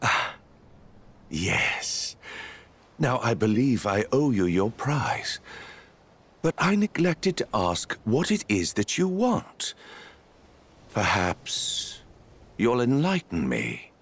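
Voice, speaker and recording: Slow, husky, scheming villain. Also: male voice, mid-range voice, wildly swooping pitch, a bit bright, heavily acted. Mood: mock-gracious. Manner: drawling, mock-polite, deliberate phrasing. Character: smug, scheming male villain, theatrical and sly. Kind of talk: video game voice acting.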